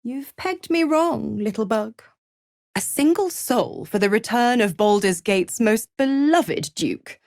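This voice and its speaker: A sly, mocking she-devil from a fantasy video game. Her delivery is unhurried and highly animated in pitch, purring and seductive, sinking into conspiratorial whispers. She is theatrical and condescending, captured in a close, dry recording. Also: no echo. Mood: smug, teasing. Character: sly, seductive half-devil woman, a smug, mocking schemer.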